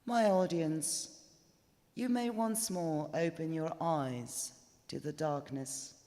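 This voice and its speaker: A man narrates a ghost story live with a very slow, measured delivery. His voice sits a little high and is dramatic and deliberate, carried on the long echo of a chapel. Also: warm tone. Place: indoors, stone chapel. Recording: reverberant chapel live recording.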